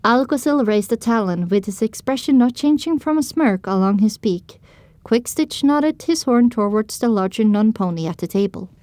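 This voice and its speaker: A woman's voice: a little high, lively intonation, moderate pace, a little mellow, no room sound. A woman narrating an audio drama in the first person, reading scripted storytelling prose.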